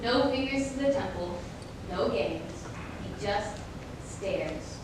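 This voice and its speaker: A young woman giving dramatic scripted narration on stage with deliberate delivery. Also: lively intonation. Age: young adult. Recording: echoey room, distant mic, noisy rumble.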